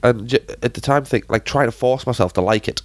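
A man's voice: mid-range voice, brisk. A man co-hosting a podcast, casually discussing films in an emphatic, baffled way. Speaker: podcast co-host.

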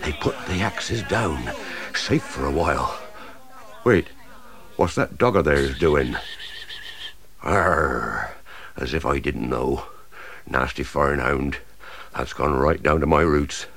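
A man's voice: rumbling low, slow pace, long pauses, a bit bright, husky, voice pushed down, heavily acted. A man voicing a pompous, indignant old male English oak tree, blustering and grumbling in a storybook monologue. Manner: blustering, grumbling, swings from lofty to panicky.

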